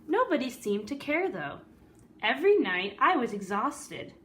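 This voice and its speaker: A woman voicing an earnest, weary good egg who tells his own story in a deliberate, dramatic storybook delivery. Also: fairly high pitch, big pitch swings, fairly bright tone.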